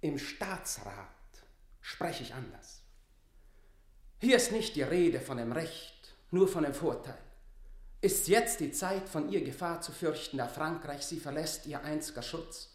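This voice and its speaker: An actor playing a scornful, persuasive lord at court, eloquent and theatrical, in a classical radio play. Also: male voice, a little high, lively intonation, a little slow, echoey room. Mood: argumentative. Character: smooth-talking, scornful lord courting a queen's favour.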